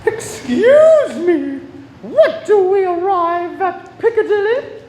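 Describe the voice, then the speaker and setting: A woman playing a dotty, friendly old lady in a radio play, with fluttery, theatrical, drawn-out delivery. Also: very high voice, very animated, dark tone, heavily acted. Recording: echoey room with faint noise.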